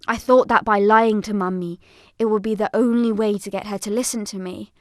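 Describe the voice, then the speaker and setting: A woman's voice: medium pitch, steady tone, brisk, a little mellow, no room sound, clean studio sound, natural voice. A woman playing a young girl survivor, giving dramatised first-person narration of an attack. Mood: sombre recounting.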